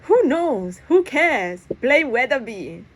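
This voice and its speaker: A woman's voice: high-pitched, sing-song, takes it slowly, slight background noise, voice pushed up, exaggerated voice. Storybook schoolboy sailor, played by a woman: cocky, boastful, competitive, a brash delivery. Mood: dismissive.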